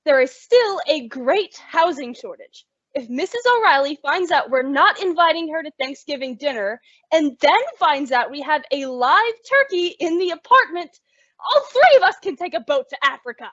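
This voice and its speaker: A woman's voice: high voice, animated, moderate pace, fairly bright tone, dry recording. A woman playing a sensible, exasperated roommate with a sardonic, dramatic delivery in old-time radio comedy. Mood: exasperated, alarmed. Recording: dull lo-fi radio-style recording.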